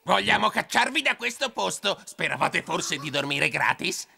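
Gravelly, bullying cartoon sea thug. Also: female voice, lowish voice, sing-song, normal speed, pushed and bright, exaggerated voice. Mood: menacing, impatient. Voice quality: gravelly, harsh. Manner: bossy, threatening. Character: bullying, greedy sea creature thug, gruff and menacing. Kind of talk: Italian-dubbed animated cartoon acting.

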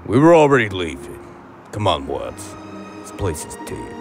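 An actor playing a cocky, ambitious mob associate in a crime audio drama, brash as a wiseguy. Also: male voice, sits low, huge pitch swings, normal speed, gravelly, soft background music, exaggerated voice. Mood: defiant. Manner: brash, foul-mouthed wiseguy.

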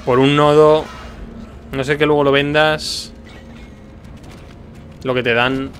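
A man's voice: fairly high pitch, little pitch movement, slow pace, a bit bright, faint music behind. A man from giving casual gameplay commentary, musing aloud informally. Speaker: video game streamer. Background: video game sound.

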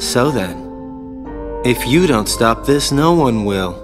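An actor voicing a scheming young man who talks in a smooth, sly, teasing way. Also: medium pitch, animated, slow pace. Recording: game cutscene audio with music.

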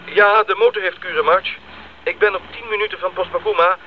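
An actor playing a young, dutiful missionary bush pilot in a radio drama, heard over an aircraft radio. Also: male voice, high-pitched, steady tone, brisk. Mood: urgent. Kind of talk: 1980s Dutch radio drama acting. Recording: muffled crackly radio link.

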